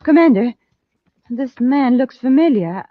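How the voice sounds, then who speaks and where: An actress playing an efficient, polite woman security officer in an old-time radio space serial, crisp and courteous. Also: high voice, animated, unhurried, dry recording. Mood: businesslike. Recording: dull lo-fi old radio recording.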